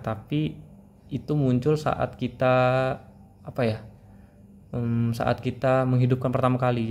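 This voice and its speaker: Monotone man reviewing casually, background noise. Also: mid-range voice. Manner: informal, explanatory, addresses viewers as friends. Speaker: product reviewer. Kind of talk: casual spoken product review.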